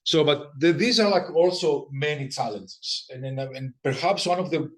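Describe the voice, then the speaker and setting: A man's voice: on the high side, moderate pace. Greek guy in his 40s giving a science seminar, chatty, keeps restarting his sentences. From Greece. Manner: frequent restarts and repeated words, conversational. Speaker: guest lecturer. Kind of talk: academic seminar talk.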